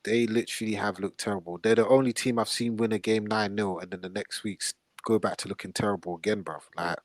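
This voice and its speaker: A man's voice: lowish voice, fairly even intonation, fast, no room sound. A man hosting a casual football panel chat, blunt and full of slang. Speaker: podcast host.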